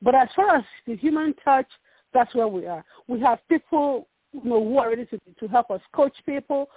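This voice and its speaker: A woman's voice: high-pitched, expressive, a little fast, husky, dry recording. Nigerian woman on a phone line. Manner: earnest, repeats words while searching for them. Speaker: ministry founder, guest. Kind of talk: casual interview talk.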